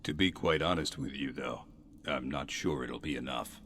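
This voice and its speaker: Man acting a very deep-voiced, exasperated guard officer. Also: moderate pace, fairly bright tone, slight background noise. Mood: weary, exasperated. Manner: weary, stern, sardonic. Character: gruff, exasperated male city guard officer. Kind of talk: video game character dialogue.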